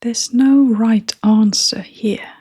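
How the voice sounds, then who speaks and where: A woman leading a guided meditation in a soft, half-whispered, breathy voice, with gentle, unhurried phrasing. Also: a little high, fairly even intonation.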